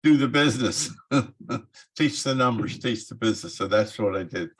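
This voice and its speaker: A man's voice: medium pitch, normal speed. A man in his nineties, a guest speaker on a call, talking in a folksy way and chuckling often. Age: 90s. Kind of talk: casual call talk.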